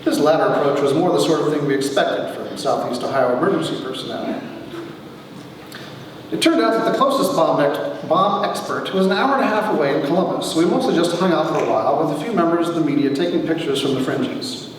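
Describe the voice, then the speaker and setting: A man giving a wry dramatic reading of his own humorous story to an audience, with theatrical pauses. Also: a little high. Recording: echoey hall from far off, faint noise.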